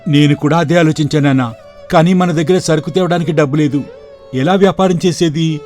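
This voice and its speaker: A man's voice: fairly high pitch, fairly quick, darkish tone, faint music behind, natural voice. A man playing a poor, respectful young shop clerk in a moral story, earnest and polite in his talk. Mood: troubled, questioning. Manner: polite and deferential. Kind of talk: dramatized moral story for video.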